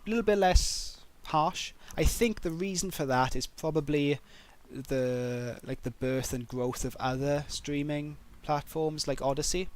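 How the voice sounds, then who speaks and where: A man's voice: fairly high pitch, a little slow, hardly any pauses. A man with a heavy Welsh accent chatting casually on a livestream, reading and answering viewer questions in a rambling, self-deprecating way with many fillers. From Wales. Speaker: streamer.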